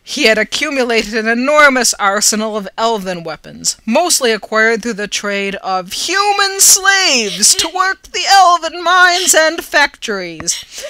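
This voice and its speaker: Casting: amateur storyteller for a fantasy tale, lively pitch swings, bright tone, theatrical with tongue in cheek. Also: no echo, low-quality recording. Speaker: amateur reader. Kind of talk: dramatic reading of a fantasy story, narrated.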